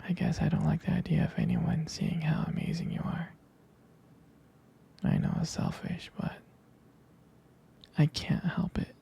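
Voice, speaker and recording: A man playing a tender, possessive young boyfriend in an intimate ASMR roleplay, speaking in a husky, halting, gravelly whisper. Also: fairly high pitch, wildly swooping pitch, heavily acted. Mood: jealous, intense. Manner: slow, halting, softly reassuring.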